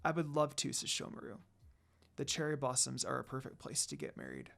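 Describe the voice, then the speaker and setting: A man voicing a kind, gentle young woman in love in a romance story, speaking softly. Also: mid-range voice, wildly swooping pitch, brisk, vocal fry, voice pushed down, exaggerated voice. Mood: gentle, affectionate.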